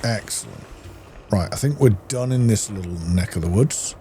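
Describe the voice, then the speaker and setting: Guy doing a laid out let's play, lowish voice, mutters thoughts to himself, noisy game sound behind. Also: normal speed. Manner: musing, talks to himself. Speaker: gamer, let's play host. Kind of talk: casual gameplay commentary, thinking aloud.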